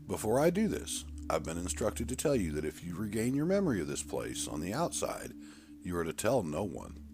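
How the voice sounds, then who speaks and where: A man performs a formal storybook doctor, clinical and reassuring as he explains things. The voice moves widely in pitch over a noisy recording. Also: dark tone. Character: calm, formal male doctor who erases memories.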